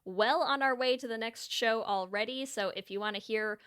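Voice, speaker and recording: Bright, clear woman podcast co-host. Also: fairly high pitch, normal speed. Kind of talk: casual podcast chat and sign-off.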